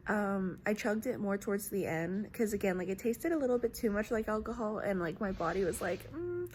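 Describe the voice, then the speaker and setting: A woman's voice: medium pitch, animated, fairly quick, a little mellow, slight background noise. A woman in her twenties chatting casually to a phone camera in a vlog, rambling with lots of "like" fillers. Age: 20s. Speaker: vlogger. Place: indoors and in a car.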